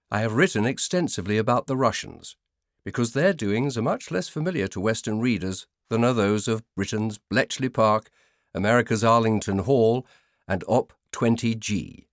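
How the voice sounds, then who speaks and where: A man narrating a scripted nonfiction audiobook in a measured, formal manner. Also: lowish voice, no echo, clean studio sound.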